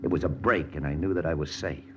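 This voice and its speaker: Hard american army officer telling his story, super low terse voice, muffled old radio with loud music. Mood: grim suspense. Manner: terse, hard-boiled, deliberate. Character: hard, tense American intelligence lieutenant narrating his mission. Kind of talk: 1940s-50s radio drama narration.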